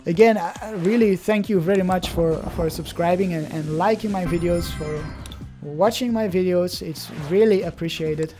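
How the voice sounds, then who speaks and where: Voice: medium pitch, normal speed, few pauses, darkish tone, faint music behind. A young adult from Belgium, a native Dutch speaker with a Belgian Dutch accent, chatting casually in hesitant, restart-filled commentary while opening card packs.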